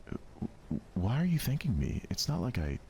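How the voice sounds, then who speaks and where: A man's voice: medium pitch, sing-song, moderate pace, a little mellow, a little hiss or hum, voice pushed up, natural voice. A man voicing a prickly, flustered teenage schoolgirl with a defensive, stammering manner in a visual novel.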